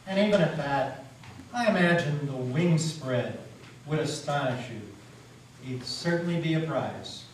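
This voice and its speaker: A man's voice: on the high side, expressive, unhurried, distant mic. Man playing a suave, simpering devil in a hall. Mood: smug. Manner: simpering, mock-pious, unctuous. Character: suave devil stranger, sly, pious, smugly polite. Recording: echoey hall from afar, background noise.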